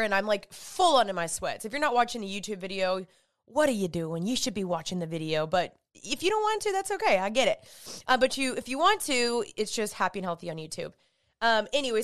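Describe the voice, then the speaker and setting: A young woman hosts her podcast in a chatty, upbeat way, peppering her talk with "like". Her voice is smooth and clear even through a stuffy, congested nose, and it sits at a middle pitch. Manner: chatty, upbeat, with filler likes. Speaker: podcast host. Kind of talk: casual solo podcast talk.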